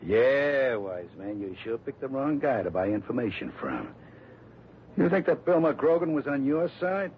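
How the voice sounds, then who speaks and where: An actor playing a tough, cocky crook on the run, talking slangy and menacing in a 1960s radio crime drama. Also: male voice, mid-range voice, very animated, a little slow. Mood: gloating threat. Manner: slangy, menacing wise-guy talk. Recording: muffled old radio broadcast, faint hiss.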